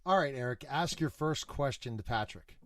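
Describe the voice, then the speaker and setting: A man's voice: medium pitch, expressive, normal speed, no echo. A man with a mid-range voice hosts a casual interview. He puts his questions loosely and conversationally. The sound is dry, with hardly any room around it. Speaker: interview show host.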